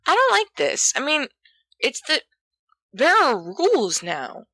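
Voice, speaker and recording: A woman's voice: sits high, wildly swooping pitch, slow, pushed and bright, no room sound, pitched above the performer's own voice, exaggerated voice. A woman voicing a timid, anxious schoolboy wizard in a storybook reading, faltering and muttering.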